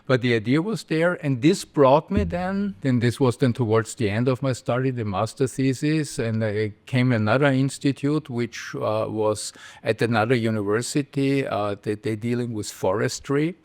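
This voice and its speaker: A middle-aged Austrian man with a mid-pitched voice, speaking English as a second language. He talks casually as a podcast guest. He often stalls, repeats words and restarts his sentences. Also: moderate pace, hardly any pauses, a little mellow. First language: German. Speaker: guest, computer vision professor. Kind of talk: casual interview.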